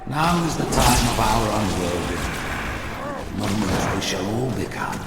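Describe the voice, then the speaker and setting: An old, commanding alien zealot from a video game. He orates pompously and slowly with sweeping intonation, his voice echoing beneath loud music. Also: lowish voice, big pitch swings, very noisy. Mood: zealous, grandiose. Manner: pompous, oratorical. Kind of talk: grand video game sermon acting.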